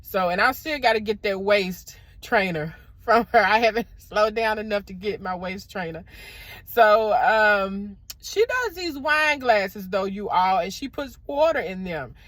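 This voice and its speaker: Middle-aged woman, motivational vlogger, loud deep voice, dry close lapel sound. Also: lively intonation, unhurried, no echo. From American South. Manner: warm preacherly encouragement, addresses viewers as y'all. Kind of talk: casual motivational talk to camera. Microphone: clip-on microphone.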